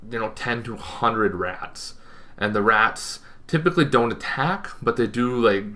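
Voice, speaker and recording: A young man talking casually to camera, explaining things in an unscripted way with emphatic phrasing and pauses. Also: on the low side, pushed and bright. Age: young adult. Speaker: tabletop gaming video host. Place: small bedroom, indoors.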